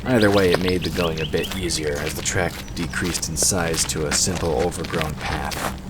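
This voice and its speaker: A man with a very deep voice narrates a fantasy story in scripted audio drama style. There is clear background noise, with outdoor nature effects layered behind him. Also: steady tone, moderate pace. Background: forest ambience sound effects.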